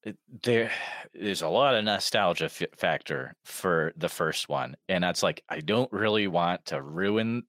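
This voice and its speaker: A low-voiced man from New England hosting the interview, eager and chatty, reacting warmly with lots of "you know" and "like". His voice sits low and the sound is dry and close. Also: unhurried, few pauses, dry recording. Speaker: host. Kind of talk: conversational interview.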